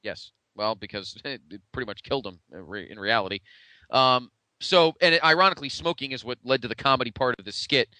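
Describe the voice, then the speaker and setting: A man's voice: mid-range voice, fairly quick, sharp tone, dry recording, low-quality recording. A man co-hosting a podcast in casual, conversational retrospective chat, with a blunt way of talking. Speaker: podcast co-host.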